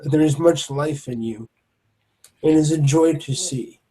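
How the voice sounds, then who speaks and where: A man voicing a huge, gentle male troll knight who speaks with formal, soft-spoken courtesy. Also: a little high, a little slow, close to an everyday voice, low-quality recording. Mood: courtly.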